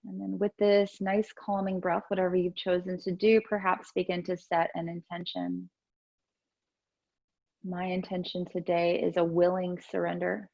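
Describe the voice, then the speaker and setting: Woman, yoga and meditation teacher, noticeable vocal fry, soothing gentle guidance, unhurried phrasing, dull muffled recording. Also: fairly low pitch, completely flat delivery. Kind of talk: guided gentle yoga and breathing instruction.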